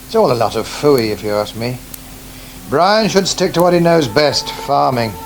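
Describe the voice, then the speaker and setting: An actor playing a sceptical, preoccupied farming husband in a naturalistic radio soap, distracted and dismissive. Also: male voice, medium pitch, lively intonation, normal speed, faint music behind, lightly acted. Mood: sceptical, grumbling. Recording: noisy 1980s radio broadcast.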